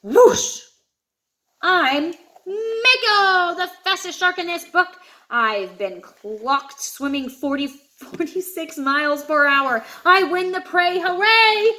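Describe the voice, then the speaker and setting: Lady doing a cocky speedy shark in a kids storybook, high pitched, brags loud and proud. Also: animated, takes it slowly, heavily acted. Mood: triumphant. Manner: bragging, exclamatory.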